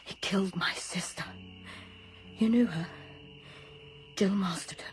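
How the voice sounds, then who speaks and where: A woman's voice: medium pitch, a little slow, natural voice. An actress playing a fierce, bitter young woman seeking revenge in a radio drama. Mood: bitter grief. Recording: radio play with loud music underneath.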